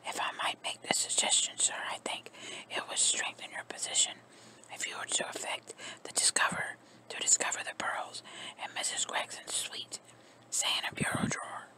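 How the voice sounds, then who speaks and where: Reader whispering a polite formal manservant, calm and measured, bright breathy hush. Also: poor audio. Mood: deferential. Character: discreet, deferential male valet. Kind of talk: ASMR story reading.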